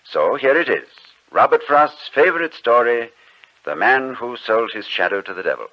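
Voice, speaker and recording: A man narrating and announcing an old radio drama in a wry, measured storytelling manner. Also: mid-range voice, very animated, slight background noise. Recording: dull lo-fi old radio recording.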